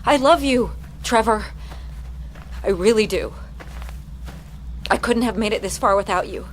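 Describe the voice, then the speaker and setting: A woman's voice: mid-range voice, a little slow, a little hiss or hum, lightly acted. An actress playing a zealous, unyielding woman on a mission, speaking with solemn insistence in a naturalistic audio drama. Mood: fervent.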